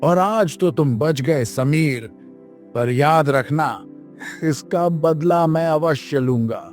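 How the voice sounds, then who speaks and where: A spoiled, hot-tempered young prince from a children's moral story, played by a man. He speaks slowly in a fairly high male voice, haughty and bullying. Light music plays underneath. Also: darkish tone. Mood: spiteful.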